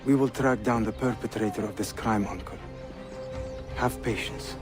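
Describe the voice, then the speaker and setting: An actor playing a young, patient prince in a video game, polite and measured. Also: male voice, on the low side, over loud music, natural voice. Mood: calm, diplomatic. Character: young, diplomatic, patient Ottoman prince, a nephew. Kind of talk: dramatic video game acting.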